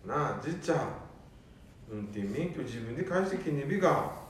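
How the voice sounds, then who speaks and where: A man's voice: mid-range voice, animated, fairly quick, a little hiss or hum, lightly acted. A man playing an earnest eldest son who pleads with his father in a Nanbu dialect. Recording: echoey room, distant mic.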